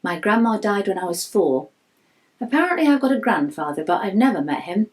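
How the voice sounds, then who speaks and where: Woman doing a worried schoolboy reading his diary, chatty n matter-of-fact, kids audiobook, normal mid pitch. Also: big pitch swings, fairly quick, lightly acted, low-quality recording. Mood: worried, confiding. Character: anxious, candid Year 6 schoolboy writing his diary. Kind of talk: first-person children's audiobook narration.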